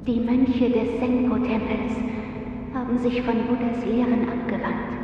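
A woman playing a solemn, unseen mysterious female figure in a game audio drama, slowly giving foreboding words through heavy reverb. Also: on the high side, flat delivery. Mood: ominous warning. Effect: heavy cavernous reverb. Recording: echoing, distant, dull, noisy ambience.

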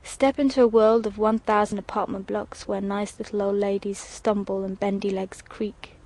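A woman reading her own poetic voice-over narration, in a measured, evocative way with short fragmented phrases. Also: mid-range voice, flat delivery, a little mellow.

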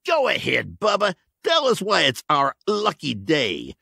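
A man's voice: a little high, wildly swooping pitch, measured pace, gravelly, bright, forceful tone, no room sound, clean studio sound, pitched above the performer's own voice, full character voice. A man voicing a rat-faced male outlaw who talks in an ironic, taunting way. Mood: mocking.

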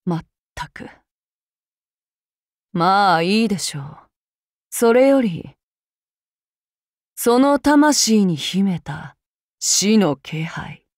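A demon-blooded samurai lady for a video game, speaking with old-fashioned courtesy and a quiet menace underneath. Her pitch swings widely, and she drawls her words slowly. The recording is close and dry, with no room sound. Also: lively intonation, no echo. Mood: menacing, courteous. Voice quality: soft, formal speech turning to wild shrieks in battle. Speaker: voice actress for a game character. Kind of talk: game character voice lines.

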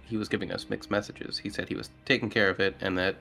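A man's voice: lowish voice, steady tone, quick pace, creaky, bright, forceful tone, soft background music. A man playing a tabletop game, talking casually with the other players.

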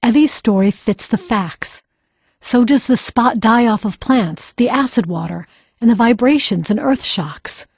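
An actress playing a learned healer-mage, measured, brisk and authoritative, in an audiobook. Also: female voice, on the high side, lively intonation, darkish tone, slightly rough, no echo, heavily acted. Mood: grave, explanatory.

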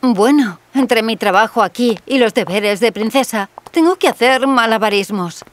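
A woman voicing a caring, wry young princess surgeon in a cartoon fairy tale, gently teasing and professional. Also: fairly high pitch, moderate pace, faint music behind, lightly acted. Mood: warm.

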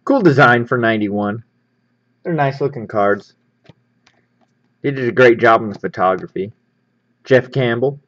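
A man with a medium-pitched voice hosts a casual unboxing. He is upbeat and easygoing as he comments on what is in his hands. The sound is a little dull and lo-fi, as from a basic microphone at a tabletop. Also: unhurried, long pauses, a bit bright. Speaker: host of a sports card pack-opening show.

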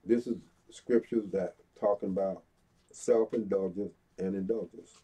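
Slow, dark-voiced stuffy man. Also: sits low, fairly even intonation, breathy edge. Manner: slow, deliberate reading with pauses, stuffy-nosed. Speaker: Bible teacher. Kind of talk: reading scripture aloud. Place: small room before a brick wall. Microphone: fixed camera, head and shoulders. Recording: dull lo-fi sound.

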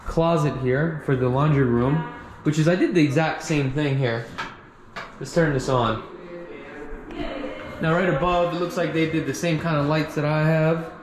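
Man touring property, very echoey rooms. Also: a little high. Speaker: real estate agent giving a property tour. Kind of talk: casual walkthrough commentary. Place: empty condo rooms and outdoor walkway. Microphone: handheld action camera. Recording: echoey empty rooms, some background noise.

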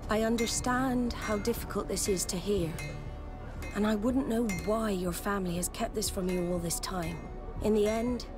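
A middle-aged woman playing a stern, weathered admiral in a video game, speaking gravely and deliberately. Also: mid-range voice, music in the background, lightly acted. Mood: solemn, measured. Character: stern, weathered female admiral of secretive seafarers. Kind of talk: scripted video game acting.

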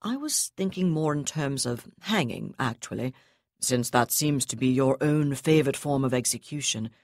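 A woman voicing a cold, contemptuous male navy commodore who speaks with calm, clipped precision. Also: extremely low pitch, normal speed, no room sound, studio quality. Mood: icy.

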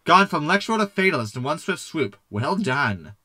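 A man's voice: fairly high pitch, sing-song, moderate pace, sharp tone, full character voice. A man playing a sardonic, know-it-all hologram in a sci-fi sitcom audio drama, pompous and wordy. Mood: irritated.

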